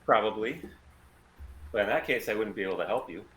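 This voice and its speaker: A man playing a calm, defiant rogue pilot with a plan in a sci-fi table read. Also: fairly low pitch, a little fast, rough recording. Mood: cool, assured.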